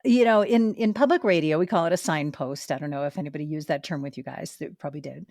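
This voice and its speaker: A woman's voice: low voice, quick pace, darkish tone, no room sound, clean studio sound. A woman hosting a podcast interview, enthusiastic and conversational, asking about craft. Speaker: podcast host. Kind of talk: casual interview chat.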